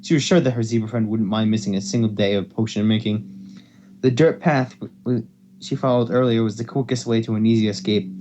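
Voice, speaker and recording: A man's voice: fairly low pitch, steady tone, a little fast, mellow, lo-fi sound, slight background noise. A man hosting an amateur fan fiction reading, who stumbles over words and repeats phrases as he goes. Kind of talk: amateur dramatic story reading.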